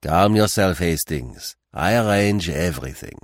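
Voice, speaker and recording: A fussy, philosophical gentleman detective acted by a male narrator with a French accent. He is precise and dignified, with theatrical swoops in pitch. The audiobook sound is close and dry. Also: expressive, a little slow, dry recording.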